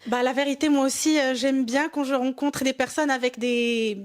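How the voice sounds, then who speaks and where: A woman's voice: high voice, fairly even intonation. A woman with a Moroccan accent hosting a podcast interview, mixing French and Moroccan Arabic. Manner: switches between French and Darija, quick intro. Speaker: podcast host.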